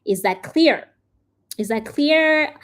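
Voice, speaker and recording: A woman's voice: sits high, lively intonation. A woman hosting a live stream, answering viewer questions in a casual way and often checking in with "all right?" and "okay?". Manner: says she tends to speak too fast.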